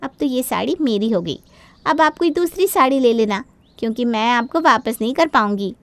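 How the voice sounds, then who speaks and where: Woman as a high-voiced, spoiled young sister-in-law. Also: expressive, moderate pace, fairly bright tone, no room sound. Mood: gushing, entitled. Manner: wheedling, gushing, entitled.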